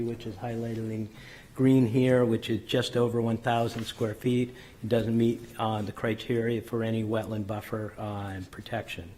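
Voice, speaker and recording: A man presenting a subdivision plan at a public board meeting, methodical and technical with frequent ums and self-corrections, his voice slightly hoarse. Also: medium pitch, darkish tone. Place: city council chamber.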